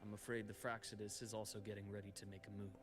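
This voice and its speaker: A man voices a composed, caring doctor in a fairly low voice. He speaks in a measured, reassuring way, with game music behind him. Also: flat delivery, close to an everyday voice. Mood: calm. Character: calm adult male doctor, caring, composed. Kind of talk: game voice acting.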